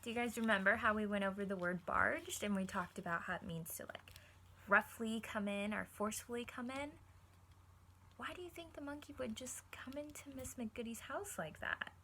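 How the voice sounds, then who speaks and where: Bright-voiced woman reading aloud to children. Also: on the high side, moderate pace, slight background noise. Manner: encouraging, addresses children directly with simple explanations. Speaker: teacher reading a picture book aloud to children. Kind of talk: read-aloud lesson with questions to young listeners.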